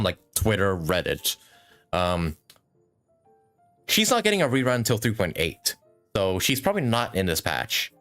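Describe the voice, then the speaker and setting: A man with a fairly low voice and lively, swinging intonation talks through a game on a livestream. He rambles casually and thinks out loud, cursing lightly. Music plays behind him. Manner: rambling, thinking aloud, mildly swearing. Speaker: live streamer. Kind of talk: casual gaming stream commentary.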